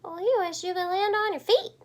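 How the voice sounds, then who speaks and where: Woman doing a chirpy, high little bird. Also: a little fast. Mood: musing. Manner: chirpy, exclaiming. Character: small young birds, perky, chirping, bewildered friends.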